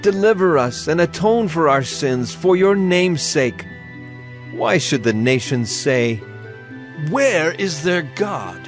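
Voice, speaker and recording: A man's voice: on the high side, big pitch swings, slow. Solemn man reading scripture over music. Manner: solemn, declamatory. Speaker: audio Bible reader. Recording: music under the voice.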